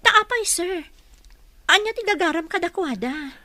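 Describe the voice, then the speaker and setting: Radio drama role: hesitant, polite young countrywoman, high-pitched, close dry sound. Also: animated, unhurried, pushed and bright, no room sound, full character voice. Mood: bewildered. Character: humble, hesitant young provincial woman employee, deferential. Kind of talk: Ilocano radio drama acting.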